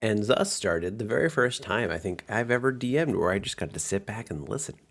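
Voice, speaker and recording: A man's voice: lowish voice, lively intonation, brisk, gravelly. A man speaking informally and chattily as a game master, narrating and commenting on a tabletop campaign.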